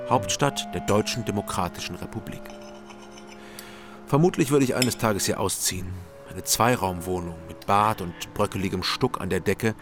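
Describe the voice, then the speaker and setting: A man in his thirties narrating a crime radio drama in the first person, dry and reflective. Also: sits low. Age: 30s. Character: former-drunk male detective narrator, world-weary.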